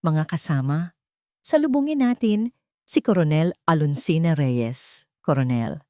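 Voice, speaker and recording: A woman's voice: deep voice, expressive, unhurried, a little mellow. An authoritative general in a story drama, read by a woman narrator. The delivery is formal and ceremonious, like an official introduction. The sound is very dry, without any room echo. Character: formal, authoritative military general addressing a gala.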